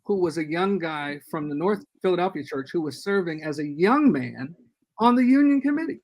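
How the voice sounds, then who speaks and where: An older man, a guest church leader, giving earnest and measured advice in a casual podcast discussion over a video call. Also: on the high side, expressive, a little mellow.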